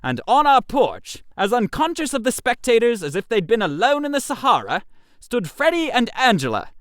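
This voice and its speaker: A man reads a comic story as narrator, with theatrical expression. His pitch swings widely and sits fairly high for a man, and the recording is dry and close. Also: huge pitch swings, normal speed, a bit bright, dry recording. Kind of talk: animated first-person comic story narration.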